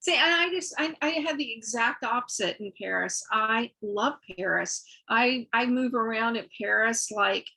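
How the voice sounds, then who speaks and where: A woman in a club video chat, telling stories in an animated, excited way. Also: on the high side, moderate pace, creaky, hardly any pauses, slightly rough, low-quality recording. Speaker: club member. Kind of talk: casual group chat.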